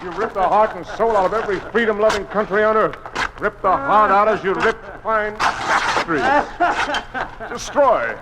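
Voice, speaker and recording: An actor playing a principled, defiant museum official in an old-time radio drama, with an earnest, biting, theatrical delivery. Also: male voice, high-pitched, normal speed, very noisy, lightly acted. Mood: anguished fury. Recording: old radio recording, long hall reverb.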